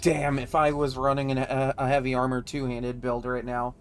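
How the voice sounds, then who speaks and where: A man's voice: mid-range voice, monotone, moderate pace. A man streaming a video game, chatting casually, joking and rambling with frequent restarts. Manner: joking, rambling, self-interrupting. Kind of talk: casual gameplay commentary.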